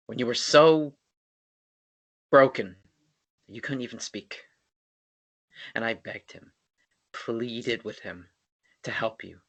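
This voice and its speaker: A man playing an earnest, stubborn young man in a dramatic screenplay reading, intense and halting as he pleads. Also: medium pitch, bright, forceful tone. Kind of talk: dramatic table read of a romance screenplay.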